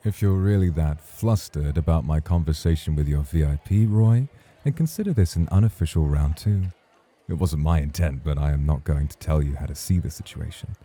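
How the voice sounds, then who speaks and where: A man's voice: rumbling low, a little fast, dark tone, breathy edge. A man acting in an ASMR roleplay as a suave, teasing club host, formal and flirtatious in his way of talking. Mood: smug, persuasive.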